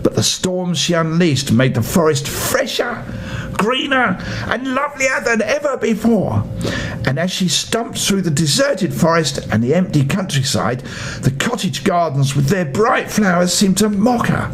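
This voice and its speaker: Animated older man reading a children's story. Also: high-pitched, measured pace, soft background music, low-quality recording. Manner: theatrical, playful. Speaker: storyteller grandfather.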